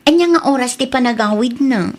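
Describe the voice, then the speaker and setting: A woman's voice: high-pitched, animated, fairly quick. A woman with an Ilocano accent acting a giddy young woman who is smitten with her neighbor, talking in a playful, teasing way. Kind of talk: radio drama acting.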